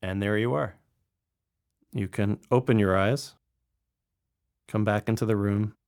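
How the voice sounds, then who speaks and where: A man speaking as a meditation teacher, calmly and gently guiding a meditation with pauses between phrases. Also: lowish voice, clean tone. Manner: calm, gently instructing, with pauses. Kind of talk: guided meditation.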